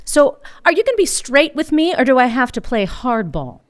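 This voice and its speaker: A woman playing a wry, hardboiled female private eye in a noir radio play, with a sardonic, teasing delivery and dramatic pauses. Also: sits high, big pitch swings. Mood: pressing. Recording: live radio play with music behind.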